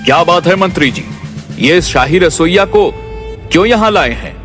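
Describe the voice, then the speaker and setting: A man's voice: high-pitched, animated, moderate pace, fairly bright tone. A man voicing a fair-minded king in a cartoon story, formal and authoritative. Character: stately, fair-minded king judging his subjects. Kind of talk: cartoon moral story acting. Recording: music behind.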